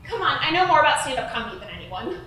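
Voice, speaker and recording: A woman's voice: sits high, big pitch swings, racing, sharp tone, full character voice. A young woman playing an eager, earnest student reporter in naturalistic dialogue, in an echoey, distant recording. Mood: pleading, determined. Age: young adult. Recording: very echoey, distant, faint noise.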